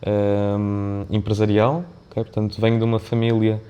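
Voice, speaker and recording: A man's voice: on the low side, completely flat delivery, normal speed, mellow, smooth, highs cut off. A man with a European Portuguese accent speaking reflectively and discursively as an interview guest, often checking in with a tag question. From born in Mozambique, of Indian family background. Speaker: podcast guest, business founder and CEO.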